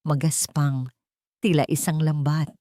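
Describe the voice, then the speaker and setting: A woman narrates a scripted dramatic story in deliberate, suspenseful phrases. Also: deep voice, little pitch movement, dark tone, dry recording, studio quality.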